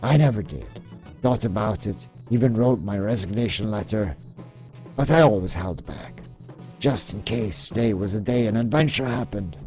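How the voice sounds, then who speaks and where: Wistful military pen-pusher played by a man: deadpan comic-dub delivery, mellow voice, faint music underneath. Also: on the low side, normal speed, lightly acted. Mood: wistful, rueful. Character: earnest, wistful human G.I. Joe paperwork officer. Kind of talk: amateur comic dub voice acting.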